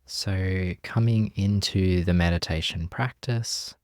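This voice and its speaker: A man with a deep voice guides a meditation at a very slow pace. He is gentle and unhurried, letting each instruction settle with long pauses. The sound is dry and close, without room reflections. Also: no room sound. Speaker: meditation teacher.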